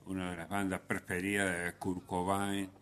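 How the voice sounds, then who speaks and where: A man hosting a radio music show, giving unscripted commentary in a halting way, often hesitating and correcting himself. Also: low-pitched, monotone, fairly bright tone, a little hiss or hum.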